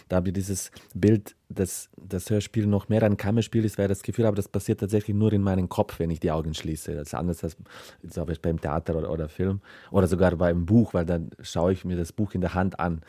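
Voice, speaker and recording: A man's voice: low voice, mellow, no room sound, studio quality. A man from Serbia, a writer answering interview questions, explaining himself while often searching for words.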